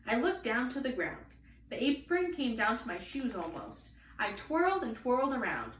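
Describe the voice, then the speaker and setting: A woman reading a children's storybook aloud in an expressive style. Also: on the high side, normal speed. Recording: echoey room, distant mic, faint noise.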